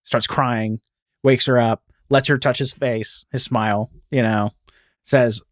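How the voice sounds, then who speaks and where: A man's voice: mid-range voice, steady tone, slow pace, dry recording, studio quality. A man hosting a podcast, telling a story in a casual, informal way peppered with "like" and "you know.". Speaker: podcast host.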